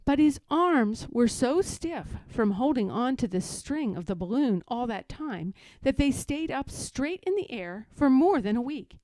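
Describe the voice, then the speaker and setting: A woman's voice: high-pitched, very animated, unhurried, hardly any pauses, mellow, no echo. A woman reading a children's story aloud as a scripted narration. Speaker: storybook reader.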